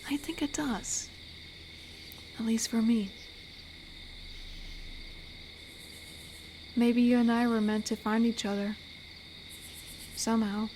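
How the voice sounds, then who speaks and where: A woman playing an amiable female werewolf in an intimate roleplay audio drama, gentle and teasing as she speaks softly to the listener. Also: fairly high pitch, fairly even intonation, a little slow, a little hiss or hum, close to an everyday voice. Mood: tender, comforting. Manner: gentle, teasing, soft-spoken. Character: amiable, flirty female werewolf, tender forest protector.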